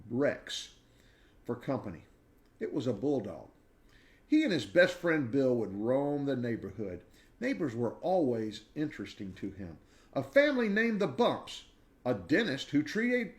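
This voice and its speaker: Man reading a storybook with lively pitch. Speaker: reader of a children's book. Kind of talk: expressive read-aloud storytelling.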